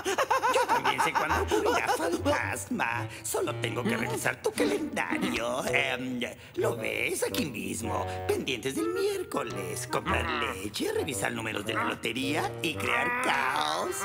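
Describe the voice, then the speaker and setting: A goofy creature sidekick from a cartoon, creaky and slow, cheeky toward the boss. The pitch swoops wildly over loud music. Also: huge pitch swings, heavily acted. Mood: smug teasing. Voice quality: gravelly, creaky. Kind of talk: animated cartoon creature acting.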